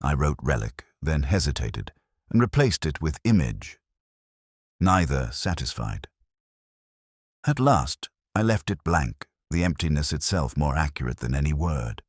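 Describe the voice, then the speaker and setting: A man reading a scripted first-person detective story in a measured, literary, brooding manner. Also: rumbling low, big pitch swings, dry recording, clean studio sound. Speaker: narrator.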